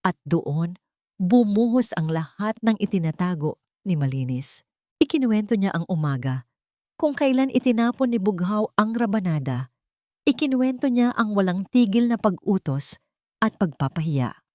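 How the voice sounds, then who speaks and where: A woman narrating a scripted dramatic story in measured phrases with deliberate pauses. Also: mid-range voice, big pitch swings, mellow, dry recording, clean studio sound.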